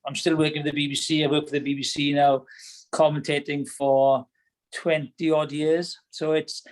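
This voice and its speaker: Welsh man, middle-aged, slightly high pitch, reflective, halting, restarts sentences. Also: flat delivery. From Wales. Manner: reflective, hesitant with many restarts. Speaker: guest. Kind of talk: casual podcast chat.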